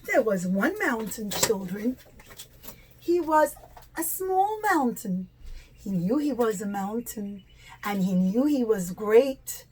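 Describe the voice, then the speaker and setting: A high-voiced woman narrates a children's puppet show. She takes her time, stretching words expressively and explaining things simply like a teacher. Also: animated, slow pace, a little mellow, slight background noise, low-quality recording. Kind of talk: children's religious storytelling.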